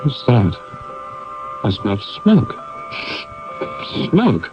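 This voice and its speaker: A man's voice: medium pitch, huge pitch swings, very slow, over music, exaggerated voice. An actor playing a polite, curious and sympathetic male interviewer and houseguest in a 1970s radio drama. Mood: puzzled, alert. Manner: polite, inquisitive, earnest. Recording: dull lo-fi 1970s radio broadcast.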